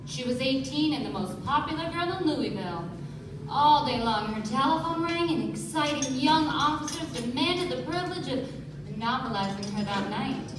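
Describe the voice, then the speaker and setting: A woman's voice: high-pitched, lively intonation, moderate pace, noisy background. A woman acting a poised young socialite who narrates dramatically in a live radio play. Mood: dramatic storytelling. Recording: echoey stage, distant mic, music behind.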